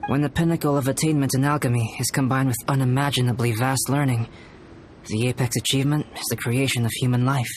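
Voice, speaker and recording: An actor playing a calm, scholarly young male alchemist in a video game, speaking in a measured, formal, reflective way. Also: medium pitch, background noise, close to an everyday voice. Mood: composed, matter-of-fact. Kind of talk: video game character dialogue.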